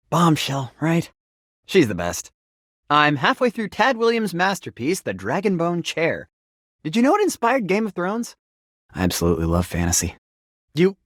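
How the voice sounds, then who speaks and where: A man's voice: on the high side, a bit bright, dry recording, studio quality, exaggerated voice. A man voicing a friendly, geeky, playful game writer in a video game, chatty, informal and enthusiastic. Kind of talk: video game character voice acting.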